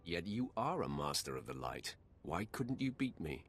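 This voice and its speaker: A menacing dark lord in a space fantasy game. He is extremely deep and rough-edged, and he commands and tempts with cold deliberation. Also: sing-song, moderate pace, soft background music, full character voice. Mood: commanding. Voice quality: very deep, husky. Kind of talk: video game voice acting.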